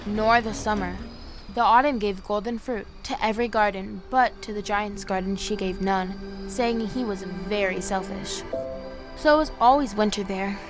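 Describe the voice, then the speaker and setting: A gentle young woman, played by an actress, reads a storybook tale aloud over clear background music. Her voice sits at a middle pitch, and her telling is measured and earnest. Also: close to an everyday voice. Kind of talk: storybook narration in an audio drama.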